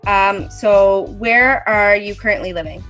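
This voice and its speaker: A woman's voice: medium pitch, unhurried, a bit bright, soft background music. Friendly woman host, hesitant fillers. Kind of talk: casual interview.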